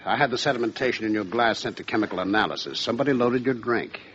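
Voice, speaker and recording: An actor playing a dryly probing homicide police lieutenant in an old-time radio crime drama. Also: male voice, mid-range voice, animated, fast talker, a bit bright, a little hiss or hum, natural voice. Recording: dull old radio recording.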